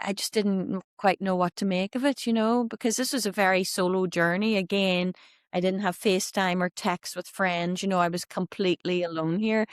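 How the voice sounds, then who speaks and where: A woman in her fifties with a Belfast Irish accent, telling her life story as an interview guest in a candid, animated way with quoted asides. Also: mid-range voice, dry recording, studio quality. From Northern Ireland. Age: 50s. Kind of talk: reflective interview conversation.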